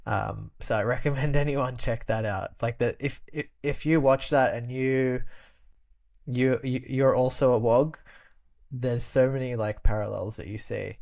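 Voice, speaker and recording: A man's voice: medium pitch, fairly even intonation, unhurried, creaky, darkish tone. A young man from Australia, a podcast guest who grew up speaking Albanian, chatting casually with many restarts and frequent laughs.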